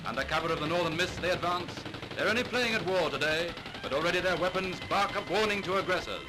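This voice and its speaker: Brisk high-voiced male newsreel commentator. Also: lively intonation, very noisy. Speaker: newsreel narrator. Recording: vintage newsreel soundtrack with music.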